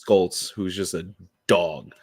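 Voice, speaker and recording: A man hosting a podcast, talking in a slangy, excitable, casual way. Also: low voice, slow, no room sound, studio quality. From United States. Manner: slangy, excitable, laughs easily. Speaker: podcast host.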